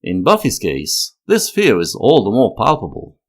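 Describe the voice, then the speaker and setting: A man narrating a scripted analytical video essay in a measured, expressive way. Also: fairly low pitch.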